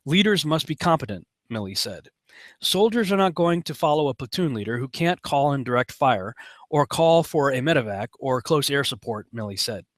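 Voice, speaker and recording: A man's voice: medium pitch, expressive, moderate pace, no room sound, clean studio sound. A man with an American accent giving informal commentary as host, sometimes reading aloud.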